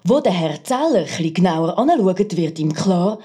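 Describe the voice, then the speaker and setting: A woman's voice: fairly low pitch, big pitch swings, moderate pace, dark tone, slightly rough. A woman playing a conceited, overeager crime reporter, delivering an ominous, sensational radio report with a Swiss German accent. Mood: dramatic. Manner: melodramatic, ominous.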